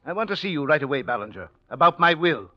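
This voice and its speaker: An actor playing a stern, controlling, wealthy old uncle in 1940s radio drama, imperious and deliberate. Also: male voice, on the high side, very animated. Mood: cold, commanding. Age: older. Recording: dull vintage radio recording.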